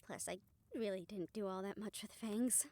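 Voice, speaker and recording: A woman playing a gentle, supportive rehabilitator comforting a friend in an intimate audio roleplay, speaking softly, earnestly and a little hesitantly. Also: mid-range voice, steady tone, moderate pace, dry recording, close to an everyday voice. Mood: earnest, reassuring. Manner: soft, hesitant, reassuring, earnest.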